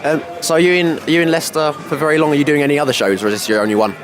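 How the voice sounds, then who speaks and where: A man interviewing a comedian, asking quick questions with many ums. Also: on the high side, steady tone. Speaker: radio interviewer.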